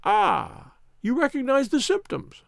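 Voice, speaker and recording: Man putting on a gloating high-pitched villain. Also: sing-song, unhurried, creaky, fairly bright tone, no room sound, clean studio sound, exaggerated voice. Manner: taunting, insistent, mock-concerned. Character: gloating, sneering, cruel villain, a smug man. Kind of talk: dramatized audiobook reading.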